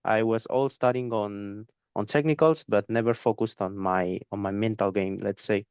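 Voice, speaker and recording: Young male guest, clear resonant tone, dry close sound, halting delivery with fillers. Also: on the low side, fairly even intonation, a little mellow, no echo. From Spain. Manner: hesitant, repeats words, many fillers. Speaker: guest trader. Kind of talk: interview conversation.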